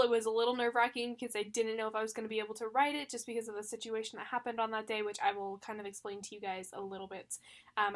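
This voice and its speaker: Young woman, fairly high voice, stuffy with a cold, chatty, filler words, light laughs. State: congested from the flu, with a slight cough. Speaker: planner vlogger. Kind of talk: casual chat.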